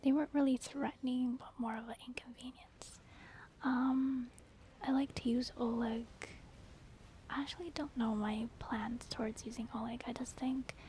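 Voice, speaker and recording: A woman whispering soft-spoken ASMR commentary over a video game as the host. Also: a little high, slight background noise.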